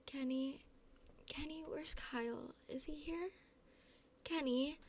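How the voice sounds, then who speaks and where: A woman voicing an excitable, tipsy teenage girl in an amateur story reading, dramatic and emotional. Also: fairly high pitch, unhurried, creaky, a little hiss or hum. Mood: confused, searching. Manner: dramatic, rambling, emotional swings. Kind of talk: amateur story read-aloud.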